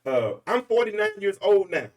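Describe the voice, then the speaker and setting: A man's voice: sits high, big pitch swings, normal speed, far from the mic. A man, hosting a freestyle commentary monologue in an emphatic, rambling, preachy way. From Arkansas, US. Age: 40s. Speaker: podcast host.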